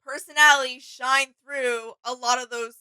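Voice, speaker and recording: Woman, very high pitch, bright sharp voice, casual co-host reaction chat. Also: fairly even intonation, normal speed, clean tone, poor audio.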